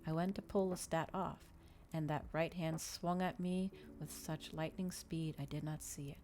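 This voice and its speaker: A woman reading a story aloud in ASMR style, soft-spoken and measured, as a streamer narrating a novel. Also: low-pitched, steady tone, faint music behind.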